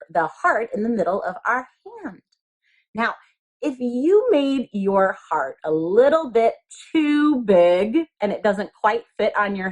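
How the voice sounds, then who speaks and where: Slow-paced, lively-pitched woman teaching young children. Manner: simple, patient explaining with repeated phrases and questions to children. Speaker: elementary school craft teacher. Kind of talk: step-by-step craft lesson for young children.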